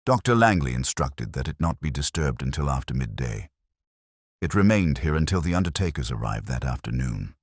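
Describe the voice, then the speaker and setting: A man voicing an uneasy, formal Victorian gentleman in a detective story, guarded in manner. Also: very deep voice, sing-song, fairly quick, slightly rough, no echo, studio quality, heavily acted. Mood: formal, subdued.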